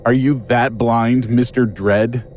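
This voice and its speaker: A man's voice: mid-range voice, steady tone, slow, a little mellow, slight background noise, natural voice. An actor playing an angry, suspicious male investigator in a horror audio drama, blunt and confrontational.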